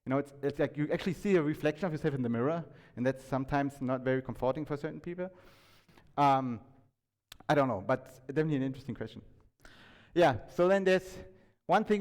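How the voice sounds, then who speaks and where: A man giving an informal talk to an audience, conversational and halting, often restarting his sentences and checking in with questions. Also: a little high, a little mellow. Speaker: conference speaker. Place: indoor talk venue, reverberant room. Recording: echoey hall with long reverb.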